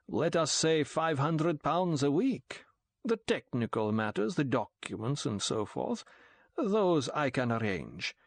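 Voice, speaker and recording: A man voicing a sinister middle-aged count with a silky, slow and deliberate way of talking. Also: medium pitch, no echo, pitched above the performer's own voice.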